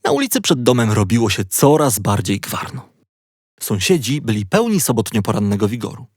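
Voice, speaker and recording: Animated man narrating a children's story. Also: mid-range voice, fairly quick, dry recording, clean studio sound. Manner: playful, expressive storytelling. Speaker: audiobook narrator.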